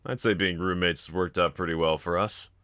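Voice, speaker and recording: A very low-pitched, sardonic villain, acted out by a man in an intimate audio roleplay. He drawls casually and teasingly, with a bright, edgy tone and a quiet vulnerability underneath. The sound is close and dry. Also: fairly even intonation, moderate pace, no room sound. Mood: exasperated, coolly menacing. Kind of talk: fan audio roleplay, intimate one-sided dialogue.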